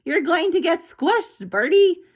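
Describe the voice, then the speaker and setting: A woman voicing a lively, caring young girl in an animated children's storybook reading. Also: very high voice, a little slow, pitched above the performer's own voice, exaggerated voice. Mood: anxious, urgent. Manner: excitable, animated.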